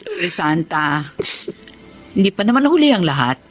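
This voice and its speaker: A woman's voice: fairly low pitch, big pitch swings, normal speed, faint music behind. A woman playing a principled, firm mother in a Filipino radio drama, speaking in a moralizing way. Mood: calm, forgiving. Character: principled, firm mother standing up for her family.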